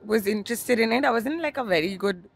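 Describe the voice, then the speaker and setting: A woman's voice: on the high side, animated, fast talker, no echo. A young woman from India, a stand-up comedian, chatting casually as a guest on an outdoor cafe patio, with plenty of fillers and self-deprecating asides. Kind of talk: casual interview chat.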